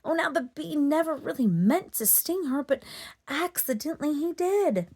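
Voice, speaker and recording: Animated woman reading a children's story. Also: high-pitched, normal speed. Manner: dramatic, animated delivery for children. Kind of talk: expressive children's read-aloud narration.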